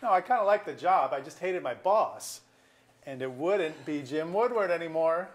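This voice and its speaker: A man's voice: fairly high pitch, animated, normal speed, lightly acted. A man acting a sardonic, middle-aged small-town lawyer with a grudge in a staged play reading, wry and wordy. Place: auditorium.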